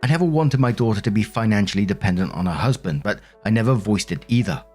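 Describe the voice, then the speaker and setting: A man's voice: on the low side, expressive, quick pace, a little mellow, soft background music. A man narrating online stories aloud as a host, with informal, conversational commentary and casual swearing.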